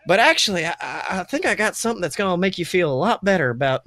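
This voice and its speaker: A protective halfling father, as a man plays him in a tabletop game, jokey yet easily worried. He talks in a folksy, halting manner. The voice sits fairly high and the sound is dry. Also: very animated, pushed and bright, no room sound, heavily acted. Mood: reassuring. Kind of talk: improvised tabletop roleplaying.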